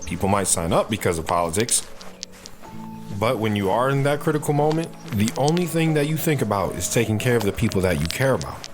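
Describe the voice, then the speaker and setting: A man's voice: on the low side, big pitch swings, brisk. An actor playing a protective soldier father who talks patiently and earnestly to his daughter in an audio drama. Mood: earnest, grave. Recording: music behind the voice.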